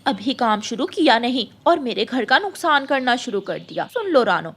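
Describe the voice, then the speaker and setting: A woman's voice: fairly high pitch, big pitch swings, moderate pace, fairly bright tone, slightly rough, exaggerated voice. A woman voicing a bossy, greedy female crow employer in a cartoon, haughty and threatening. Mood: scolding. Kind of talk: Hindi moral cartoon story dialogue.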